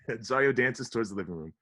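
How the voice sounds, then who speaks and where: A man's voice: medium pitch, lively intonation, moderate pace, fairly bright tone. A man reading out stage directions as narrator for a comedy script table read.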